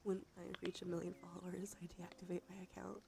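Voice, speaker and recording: Creaky, whispery woman doing ASMR. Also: lowish voice, little pitch movement, fast talker, noisy background. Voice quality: breathy whispery delivery with creaky fry. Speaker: streamer. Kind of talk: soft-spoken ASMR livestream, drifting into whispers. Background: music.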